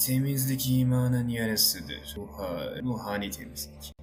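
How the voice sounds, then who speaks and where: A man's voice: mid-range voice, animated, unhurried, faint music behind, natural voice. A man voicing a solemn, pompous cartoon clone who speaks in proverbs. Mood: sententious. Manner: sententious, pompous. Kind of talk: animated comedy dub in Turkish.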